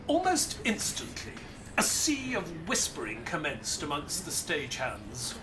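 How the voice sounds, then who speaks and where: An actor playing a polite, earnest detective's companion who narrates an audio drama in a formal, theatrical storytelling manner. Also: male voice, fairly high pitch, wildly swooping pitch, unhurried, music in the background, low-quality recording. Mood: dramatic narrating.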